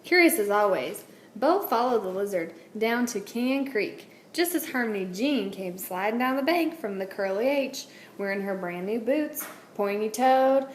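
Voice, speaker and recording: Lady teacher reading a kids picture book out loud, echoey room, some background noise. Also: on the high side. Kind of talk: children's storybook read-aloud. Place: indoors at a wooden table, book on camera. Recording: roomy echo, clear background noise.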